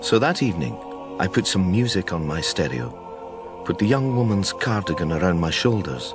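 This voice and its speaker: Deep-voiced, hesitant Scottish psychic. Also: male voice, sing-song, moderate pace, over music. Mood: measured narration. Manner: hesitant, reflective. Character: weary, self-doubting male psychic detective, softly spoken. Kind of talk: naturalistic radio drama acting with first-person narration.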